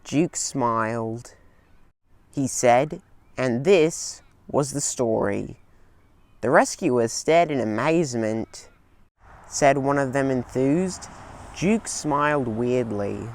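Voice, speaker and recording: A man narrating a railway storybook as a scripted read, in a slow, gentle storytelling manner. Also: medium pitch, expressive.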